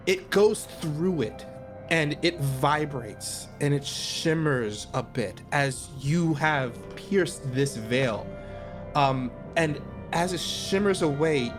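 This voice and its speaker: Man narrating a tabletop game, music behind. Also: fairly high pitch. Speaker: game master.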